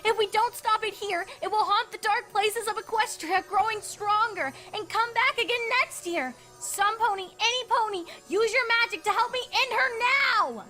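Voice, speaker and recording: A desperate, courageous unicorn mare in a dramatic story. The voice is piercingly high and bright, urgent and commanding, with faint music underneath. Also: female voice, moderate pace, hardly any pauses. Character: brave, desperate female unicorn pony heroine. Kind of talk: dramatic fan-fiction reading.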